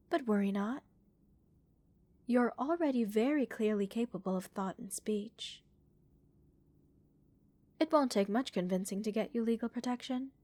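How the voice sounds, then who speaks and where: Slow, soft-spoken alien researcher, played by a woman. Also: fairly high pitch, dry recording. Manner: measured, reassuring, clinically curious. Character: gentle, curious female alien researcher examining a captured human. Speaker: voice actor in audio roleplay. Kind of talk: soft-spoken ASMR roleplay acting.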